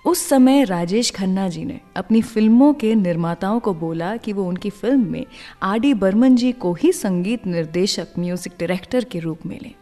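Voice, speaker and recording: A woman's voice: medium pitch, animated, normal speed, dark tone. An Indian woman hosting a radio show, telling stories and introducing songs conversationally, mixing in English words. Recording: long reverb, music behind voice. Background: background music.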